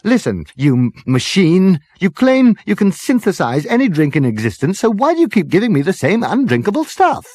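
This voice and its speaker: A male reader acts a hapless Englishman in a comic space story. He sounds high and exasperated, with very animated swings. He is polite but indignant, and falters into sarcasm. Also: moderate pace, few pauses, no room sound, exaggerated voice.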